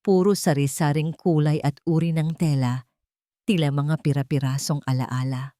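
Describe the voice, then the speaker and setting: A woman's voice: low-pitched, steady tone, moderate pace, warm tone, dry recording, clean studio sound. A woman storyteller reading a scripted, dramatic love story as narrator.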